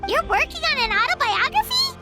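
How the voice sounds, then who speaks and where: A woman's voice: very high-pitched, sing-song, a little fast, exaggerated voice. A woman voicing a tiny, chatty and greedy female fairy companion in a video game, who talks about herself in the third person and sounds whiny and indignant. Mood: curious. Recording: game audio with loud background music.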